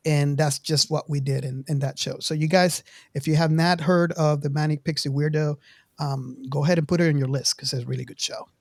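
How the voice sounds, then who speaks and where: A man's voice: a little high, fairly even intonation, a little fast, gravelly, a little mellow, no echo, clean studio sound. A man hosting a podcast in a casual, conversational style. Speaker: podcast host. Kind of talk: casual chat.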